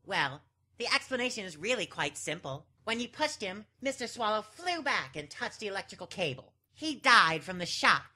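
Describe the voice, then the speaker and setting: A woman's voice: mid-range voice, sing-song, normal speed, sharp tone. A woman playing a smug, condescending prosecutor, theatrical and self-assured, in a dramatic fan dub. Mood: smug, explanatory.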